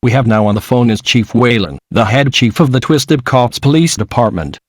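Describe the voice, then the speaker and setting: A man's voice: mid-range voice, normal speed, no room sound, studio quality, lightly acted. A man playing a local television news anchor reading a scripted newscast. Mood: formal.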